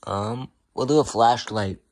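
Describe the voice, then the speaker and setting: A man co-hosting a casual, unscripted product review, speaking haltingly in short, laconic remarks. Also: medium pitch, a little mellow. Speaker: co-host of a product review channel.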